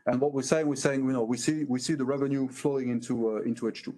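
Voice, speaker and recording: Very quick-talking man, halting phrasing. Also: medium pitch, steady tone, dark tone. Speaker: company executive answering analysts. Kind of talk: earnings call answers, unscripted.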